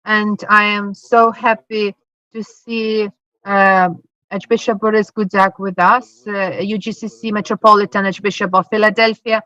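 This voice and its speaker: A woman's voice: medium pitch, flat delivery, normal speed, bright, forceful tone. A woman moderating a panel discussion and formally introducing the next speaker. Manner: formal, welcoming. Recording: dull, lo-fi conference stream.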